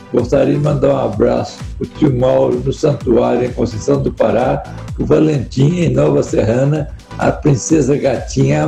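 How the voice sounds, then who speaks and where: Very gravelly dark-voiced male caller. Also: mid-range voice, roomy sound. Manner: formal, list of greetings. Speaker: radio caller.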